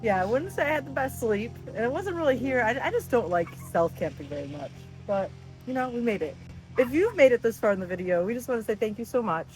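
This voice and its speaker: A woman's voice: fairly high pitch, animated, quick pace, darkish tone, faint music behind, rough recording. A woman chatting casually and informally to viewers as the host of a travel vlog, upbeat and chatty. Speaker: travel vlogger, channel host.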